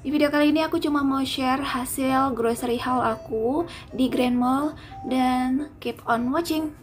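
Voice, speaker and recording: Casual woman vlogger, a bit high-pitched, chatty voice-over with music behind. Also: fairly even intonation. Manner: chatty, pauses to recall prices. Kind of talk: casual grocery haul voice-over. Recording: voice-over with clear background music.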